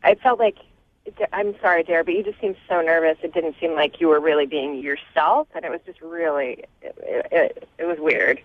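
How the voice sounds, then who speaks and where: A woman's voice: sits low, normal speed, no room sound. A woman calling a radio show by phone, chatting casually and a bit hesitantly over a thin line. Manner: hesitant, conversational. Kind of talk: casual chat. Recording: tinny narrow phone line.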